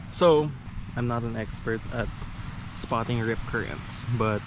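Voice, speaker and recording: A man vlogging alone at a beach campsite, relaxed and thinking aloud, switching between English and Filipino, recorded outdoors on a body-worn action camera. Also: mid-range voice, a little hiss or hum, low-quality recording. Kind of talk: casual solo camping vlog.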